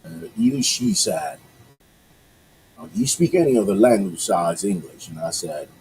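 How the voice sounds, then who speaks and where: A man's voice: low-pitched, huge pitch swings, moderate pace, creaky, darkish tone, slight background noise, poor audio. A male radio host whose first language is Yoruba, chatting casually on a morning show and rambling with lots of fillers and restarts. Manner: rambling, many fillers and restarts, upbeat asides.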